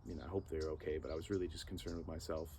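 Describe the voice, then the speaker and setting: A man in his thirties telling a personal story in an earnest, animated way, acting out moments with sound effects. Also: extremely low pitch, very fast, a little hiss or hum.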